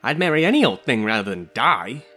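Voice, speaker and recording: A man reading a story aloud voices a spoiled, flighty rich young woman who gives orders in a raised, pitched-up voice. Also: big pitch swings, moderate pace, a bit bright, soft background music. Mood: imperious.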